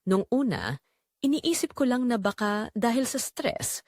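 Filipina storyteller with lively, swinging pitch. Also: female voice, measured pace, no room sound, clean studio sound. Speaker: narrator of an audio drama, reading as the wife. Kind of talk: scripted first-person confessional story narration.

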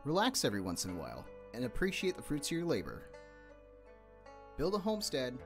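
A man's voice: on the high side, huge pitch swings, fairly quick. A man presenting a game with scripted promotional narration as its community manager. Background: faint guitar music.

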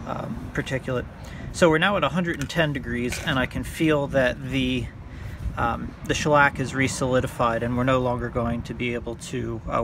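A man talking through a hands-on repair tutorial in a halting, unscripted way, thinking aloud as he works outdoors. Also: medium pitch. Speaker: hobbyist demonstrating a repair. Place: outdoors by a car on a windy day. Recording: clear outdoor background noise.

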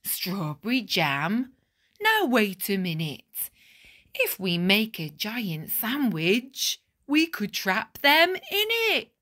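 A woman voicing an excitable, bossy male village baker in a storybook, exclaiming and giving commands. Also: medium pitch, slow, exaggerated voice. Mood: excited, inventive.

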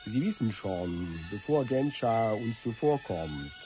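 An actor playing a fussy, excitable male government minister in a radio play, prim and quick to exclaim in outrage. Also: fairly low pitch, soft background music. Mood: eager. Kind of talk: 1990 radio play acting. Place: radio drama studio.

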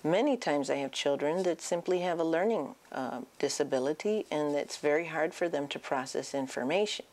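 A middle-aged woman with a low-pitched voice gives informational advice to parents. She explains each point with emphasis, like a clinician addressing families on camera. She is recorded on a lapel mic in an office. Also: lively intonation, moderate pace, few pauses, a little mellow. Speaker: child and adolescent psychiatrist giving advice. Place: small indoor office.

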